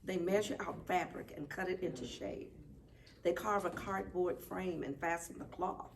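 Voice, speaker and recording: A woman reading a children's picture book aloud at story time, expressive and deliberate. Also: lowish voice. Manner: deliberate, animated. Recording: distant mic, faint background noise.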